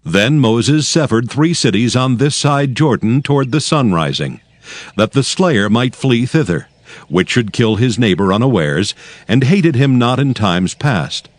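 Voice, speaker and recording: Expressive male scripture narrator, dry sound. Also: mid-range voice, measured pace, no echo. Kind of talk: scripted dramatized Bible narration.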